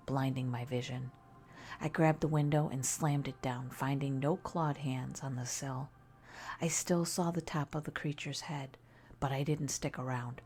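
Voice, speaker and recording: A woman narrating a scary story in a soft, breathy ASMR style, often near a whisper, hushed and deliberate. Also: a bass voice, a little hiss or hum.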